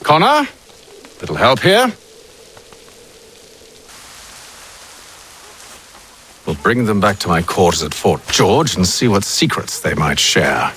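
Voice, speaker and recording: A haughty, middle-aged villainous commander in a game cutscene, fairly low in pitch with very dramatic swings. He is sardonic and condescending, and ambient noise sits faintly behind him. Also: wildly swooping pitch, moderate pace, a bit bright. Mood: impatient. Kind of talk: video game cutscene acting.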